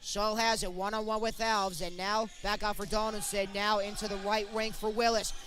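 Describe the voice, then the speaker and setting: A man's voice: high voice, little pitch movement, moderate pace, a bit bright, faint music behind. A man working as a sportscaster, delivering play-by-play and scripted reporting.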